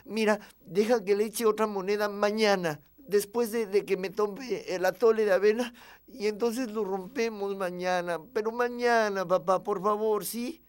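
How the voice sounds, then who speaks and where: A man with a Mexican accent playing a tender young boy who tells his own story with expressive, confiding emotion. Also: fairly high pitch, a little slow, long pauses. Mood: urgent, pleading. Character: young boy tenderly recalling his beloved piggy bank. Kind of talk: dramatic first-person storytelling.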